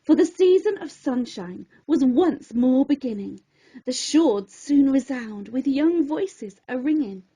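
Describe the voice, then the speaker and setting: A woman storyteller narrating a rhyming children's story in an expressive, theatrical and deliberate way. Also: sits high, low-quality recording.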